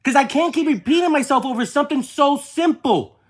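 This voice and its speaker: High-pitched, insistent caller in a heated argument. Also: fairly quick. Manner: insistent, repetitive, exasperated. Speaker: nephew or niece on a phone call with an aunt. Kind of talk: heated family argument on a phone call.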